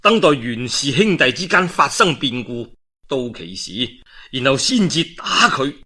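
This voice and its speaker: A man with a Cantonese accent voicing a shrewd military strategist who advises his lord in a measured, persuasive way. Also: medium pitch, big pitch swings, sharp tone, slightly rough, full character voice. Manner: measured, persuasive, reasoning step by step.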